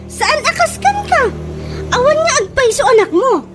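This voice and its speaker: A woman with an Ilocano accent playing a vain, sharp-tongued mother in a comedy radio drama, sassy and boastful. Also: very high voice, animated, moderate pace, music in the background. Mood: boastful, taunting. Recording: noisy home-made radio drama recording.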